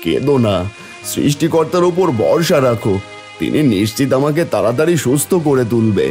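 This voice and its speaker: A man's voice: medium pitch, very animated, moderate pace, darkish tone, faint music behind. Man acting a plaintive, poor injured father. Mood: tender, consoling. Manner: plaintive, groaning over pain. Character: poor injured labourer, loving father of a little girl. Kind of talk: Bengali fairy-tale cartoon dubbing.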